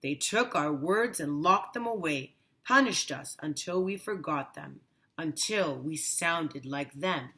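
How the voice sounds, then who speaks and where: A woman voicing a sad, gentle elderly grandfather in a storybook, in a slow, subdued, sorrowful manner. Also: low voice, lively intonation, full character voice. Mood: pained, sombre.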